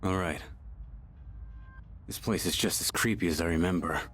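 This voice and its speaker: An actor voicing a gruff, brooding, tough young fighter in a video game, blunt, terse and low-key. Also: male voice, moderate pace, a bit bright, faint music behind. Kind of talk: English video game voice acting.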